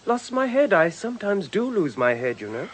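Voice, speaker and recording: A man's voice: sits high, big pitch swings, normal speed, a little hiss or hum. An actor playing an erratic, suave young man in a radio drama, mannered and playful with an unsettling edge. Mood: teasing, menacing.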